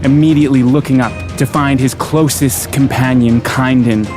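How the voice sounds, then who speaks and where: A man's voice: medium pitch, fairly even intonation, normal speed. A man giving scripted, descriptive narration for a fantasy audio drama. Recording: prominent music bed behind narration. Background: music.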